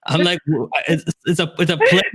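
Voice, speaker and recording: An upbeat man with a fairly high voice, hosting a casual talk show. He chats in an animated, conversational way. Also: fairly quick. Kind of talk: upbeat casual interview chat.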